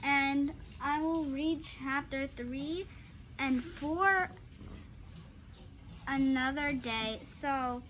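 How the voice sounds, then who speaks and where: A woman reading a children's chapter book aloud, haltingly, stumbling over long words. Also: sits high, a bit bright, poor audio. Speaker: storybook reader.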